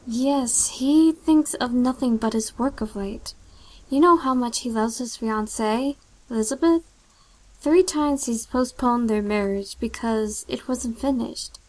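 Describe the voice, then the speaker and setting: A woman's voice: a little high, unhurried, close to an everyday voice, poor audio. A woman playing a scolding, worried, superstitious housekeeper in an old-time radio drama style, with a fussy, dramatic delivery. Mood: worried, ominous.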